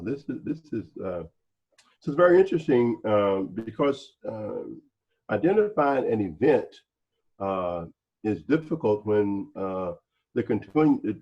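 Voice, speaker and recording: Man in his sixties, low-pitched, husky, deliberate halting discussion. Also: muffled recording. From North Carolina, United States. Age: 60s. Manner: halting, deliberate, many repeated words and pauses. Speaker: panel speaker, former special agent. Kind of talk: reflective discussion.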